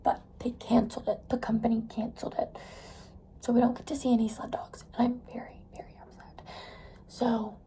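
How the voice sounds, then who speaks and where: Hoarse, gravelly young woman vlogging. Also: a little high, level tone, a little fast, dark tone, whispered. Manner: chatty and rambling, with many fillers. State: hoarse, losing her voice. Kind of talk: casual vlog chat.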